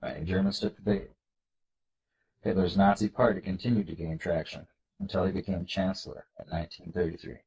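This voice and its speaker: A man with a congested, nasal voice from a cold, hosting a video and reading slides aloud in an earnest, measured way. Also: deep voice, steady tone, darkish tone, lo-fi sound. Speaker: political video host.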